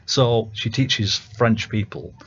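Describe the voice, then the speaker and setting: A Northern English man talks casually about his music in a low voice. He hesitates often and restarts his sentences. The sound is dull and lo-fi, like a local radio broadcast. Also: steady tone, slow pace, slightly rough. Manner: hesitant, many ums and restarts. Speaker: songwriter guest.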